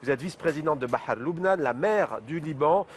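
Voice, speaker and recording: A middle-aged male TV host with a slightly raised pitch. He asks his questions in a loose, conversational way full of fillers. A faint noise sits behind him. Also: lively intonation, brisk. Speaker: TV talk show host. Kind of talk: interviewer introducing and questioning a guest.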